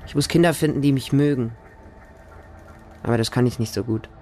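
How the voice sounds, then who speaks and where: A man's voice: mid-range voice, brisk, darkish tone, close to an everyday voice. A man playing a lonely, naive small homeless boy who narrates his life in a radio drama in short, plain sentences. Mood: lonely, wary.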